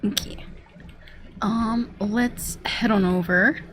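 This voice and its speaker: A woman's voice: fairly high pitch, fairly even intonation, slow, a little hiss or hum. A woman game streamer giving casual gameplay commentary, rambling and repeating herself as she thinks aloud. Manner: rambling, repetitive self-talk.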